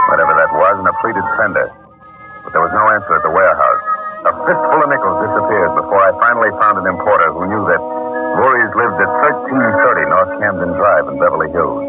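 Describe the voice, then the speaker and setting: Deep-voiced hard-boiled private eye. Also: male voice. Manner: sardonic, deadpan wisecracking. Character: hard-boiled wisecracking male private detective. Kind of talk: 1940s radio acting, noir first-person narration. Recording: muffled vintage 1940s radio recording, music behind.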